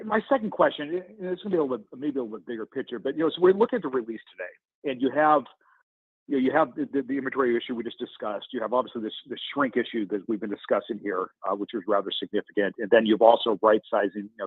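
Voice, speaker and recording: Fast, animated male analyst, husky, on phone. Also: mid-range voice, no echo. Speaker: financial analyst asking questions. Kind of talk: earnings call questioning. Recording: narrowband phone line, dry.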